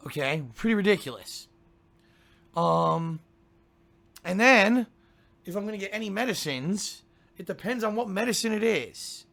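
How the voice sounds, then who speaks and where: A man with a cold, hoarse and congested, chatting casually to his viewers before a game stream, grumbling and ranting and clearing his throat often. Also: high voice, lively intonation, slow pace, long pauses, a bit bright.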